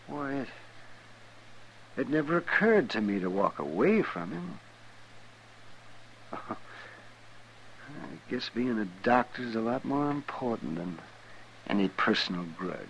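Gravelly, weary frontier doctor. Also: male voice, mid-range voice, sing-song, fairly bright tone, full character voice. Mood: uneasy, relieved. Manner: halting, folksy, reflective. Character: weary old frontier town doctor, conscientious and remorseful. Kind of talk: 1950s western radio drama acting. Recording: muffled, lightly hissy old radio recording.

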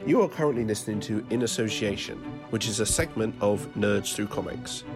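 A man's voice: on the low side, fairly quick. A man delivering a scripted announcement for a podcast segment. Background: loud music.

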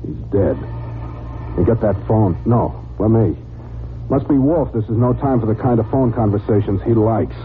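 An actor playing a breezy, wisecracking private detective's assistant with an American accent and dry delivery in an old radio drama. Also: male voice, fairly low pitch, normal speed, natural voice. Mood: wry, brisk. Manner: flippant, dry, wry. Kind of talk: 1940s radio drama acting. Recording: tinny, noisy old radio recording.

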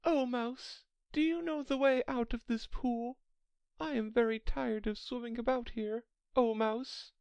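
A woman's voice: fairly high pitch, fairly even intonation, a little slow, a little mellow, clean tone, no echo, pitched above the performer's own voice. A woman voicing a polite, chatty little girl in a children's storybook, earnest and apologetic as she rambles on. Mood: polite, puzzled.

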